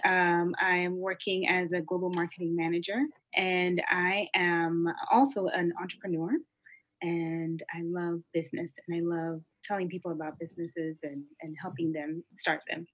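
A woman's voice: on the low side, little pitch movement, moderate pace, fairly bright tone, no echo, dull audio. Dry-sounding woman guest from Kenya. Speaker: guest entrepreneur. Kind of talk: conversational storytelling.

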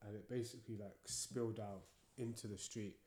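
A man's voice: fairly low pitch, steady tone, a little fast, mellow. A young man telling a story in a casual podcast chat, with lots of fillers and restarts. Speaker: podcast co-host. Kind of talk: casual conversation. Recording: echoey room.

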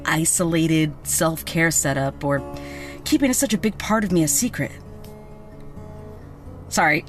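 A woman playing a chatty, excitable young veterinarian with magic, in a naturalistic audio drama. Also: fairly low pitch, lightly acted. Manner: chatty, excitable, casual swearing. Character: bubbly, frank young woman vet with magic.

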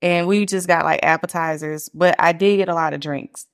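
Chatty low-voiced woman. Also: fairly even intonation, moderate pace. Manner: chatty, lots of "like" fillers, laughs easily. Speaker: podcast host. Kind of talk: casual chat.

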